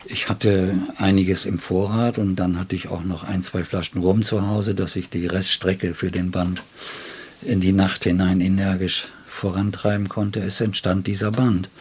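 An older man with a fairly low, husky voice reminisces as a writer being interviewed. He tells his anecdotes deliberately, unfolding them in long and detailed sentences. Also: flat delivery, a little mellow.

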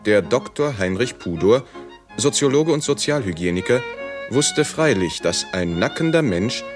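A man's voice: medium pitch, expressive, measured pace, over music. A man reading scripted documentary narration. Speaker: reader of historical notes and quotations.

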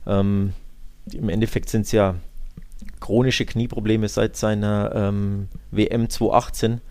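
A man with a fairly low voice and plenty of fry co-hosts a football podcast. He gives his opinions casually and colloquially, often looking for agreement. The sound is dry, with no room to it. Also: little pitch movement, measured pace, mellow, no room sound. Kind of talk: casual football talk and analysis.

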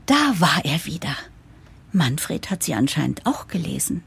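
A woman's voice: deep voice, big pitch swings, unhurried, creaky, breathy edge, exaggerated voice. A woman playing a harried, idealistic new managing director who narrates an audio drama in a wry, confiding way. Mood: wry, weary. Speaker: narrator and lead character.

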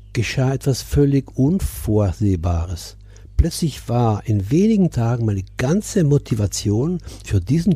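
An older man in his seventies speaks in a very dark, husky voice. He is from Milan and recounts personal experiences in a deliberate, reflective way, as a guest on a podcast. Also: lowish voice, no room sound. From Milan, Italy. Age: 70s. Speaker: coach, interview guest.

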